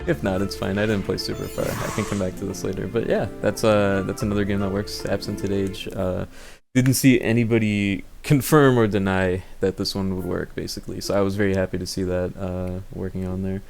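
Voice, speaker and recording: A man's voice: deep voice, flat delivery, brisk, creaky, a little mellow. A man giving a casual, unscripted gameplay walkthrough as a video host, rambling and thinking aloud as he goes. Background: background game music.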